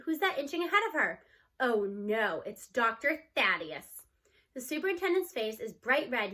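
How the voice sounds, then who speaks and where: A woman's voice: high-pitched, pushed and bright. A woman narrating a children's novel aloud as a scripted story reading.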